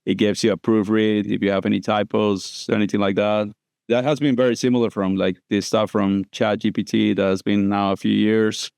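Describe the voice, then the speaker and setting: A man's voice: fairly low pitch, flat delivery, moderate pace, creaky, darkish tone, clean tone, no room sound, clean studio sound. A man from Costa Rica, a dentist guest on a podcast, explaining things casually with loose grammar and many fillers. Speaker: guest prosthodontist.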